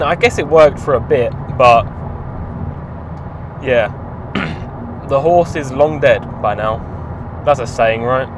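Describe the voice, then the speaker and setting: Rambling guy reviewing in a car. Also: mid-range voice. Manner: rambling, offhand, wry asides. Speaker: film reviewer. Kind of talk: casual spoken review. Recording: road and traffic noise. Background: clear car and street noise.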